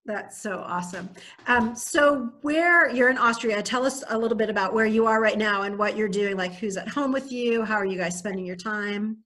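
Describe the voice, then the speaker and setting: A woman's voice: fairly high pitch, normal speed, fairly bright tone. Enthusiastic woman hosting an interview. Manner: enthusiastic, encouraging. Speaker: camp interview host. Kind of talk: upbeat interview host.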